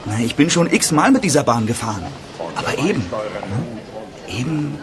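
An actor playing an excitable, spooked but eager young man visiting a theme park in a German radio play, animated and sometimes hesitant. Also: mid-range voice, unhurried, a crowd in the background. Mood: agitated recounting.

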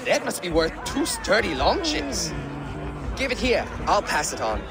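A male performer playing a cocky, teasing Viking boy in a cinematic game, amid loud music and a crowd. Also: sits high, huge pitch swings, unhurried, vocal fry, full character voice. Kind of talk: cinematic video game acting.

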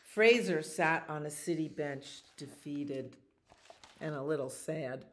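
A woman in her sixties reads a picture book aloud for children, pausing for casual asides that explain the pictures in an unhurried manner. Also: sits low. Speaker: children's storytime reader. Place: small library children's room.

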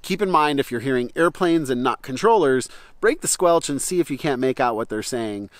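A man's voice: medium pitch, animated, brisk, dry recording. A middle-aged American man, a flight instructor, explaining things casually to camera in a conversational way and checking in with his listeners. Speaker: flight instructor and channel host.

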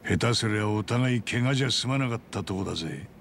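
Game companion: deep and gravelly, blunt, gruff, forever complaining tough guy. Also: lively intonation, quick pace, fairly bright tone, a little hiss or hum. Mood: irritated. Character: grumbling, seasoned, blunt male tough guy. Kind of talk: dramatic video game voice acting.

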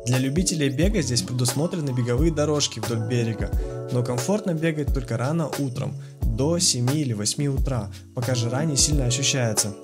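A man reading scripted travel facts as a voice-over, with an even, informative delivery. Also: mid-range voice, fairly quick. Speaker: voice-over narrator. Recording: music under the voice.

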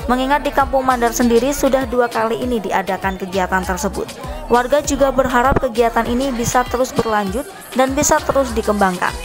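A woman reporter, reading a scripted news voice-over in an even, measured way. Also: fairly high pitch. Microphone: studio voice-over mic. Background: background music.

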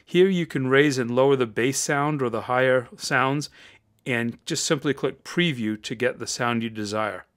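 A man with a deep voice, speaking close to the microphone, walking viewers through a software tutorial in an upbeat, encouraging way. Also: moderate pace. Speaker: voice-over tutorial host. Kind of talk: screen-recorded software tutorial.

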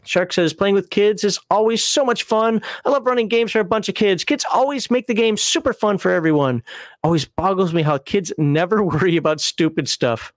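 Middle-aged guy hosting a live stream, a bit higher voice, laughs a lot, dry close sound. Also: moderate pace, no echo. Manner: laughs often, reads chat messages aloud. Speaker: livestream host. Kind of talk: casual livestream chat.

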